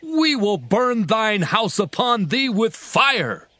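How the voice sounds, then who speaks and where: Angry bible tribesman, high sharp forceful voice, accusing, dry sound. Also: sing-song, takes it slowly, no room sound, heavily acted. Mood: furious, threatening. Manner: accusing, belligerent. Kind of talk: dramatized scripture acting, archaic English.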